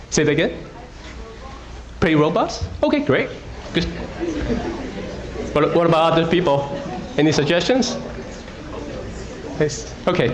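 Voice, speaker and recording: A man's voice: mid-range voice, a little hiss or hum. A middle-aged man from Hong Kong giving a talk at a school, often asking the audience questions and checking in with "okay". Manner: interactive, asks audience questions, frequent okays. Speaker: guest speaker. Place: school auditorium.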